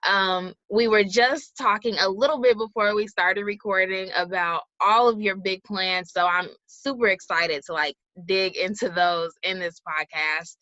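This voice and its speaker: A woman's voice: medium pitch, sharp tone, no room sound. A woman co-hosting a podcast, asking upbeat casual questions with a few fillers. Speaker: podcast co-host. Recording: dry, dull lo-fi audio.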